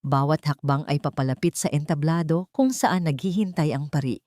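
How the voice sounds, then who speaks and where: A woman's voice: low voice, moderate pace, mellow, dry recording, clean studio sound. A woman narrating a scripted first-person dramatic story as an audiobook reader.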